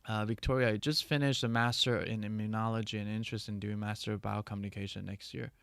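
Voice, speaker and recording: Gravelly-voiced man chatting on a livestream. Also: fairly low pitch, flat delivery, a bit bright, no room sound. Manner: halting, many restarts and fillers. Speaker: livestream host. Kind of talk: casual livestream chat answering viewer questions.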